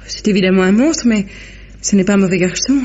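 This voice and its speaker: An actress as a breathy, melancholy wife. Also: female voice, mid-range voice, a little fast, darkish tone, lightly acted. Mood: sorrowful, resigned. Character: melancholy, unloved wife confiding in her admirer. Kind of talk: 1950s French radio drama acting. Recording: muffled old radio recording.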